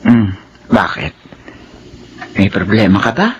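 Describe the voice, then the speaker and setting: A woman's voice: rumbling low, slow, muffled recording, slight background noise, full character voice. A woman with a Filipino accent playing a caring, motherly older aunt in a radio drama. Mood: comforting.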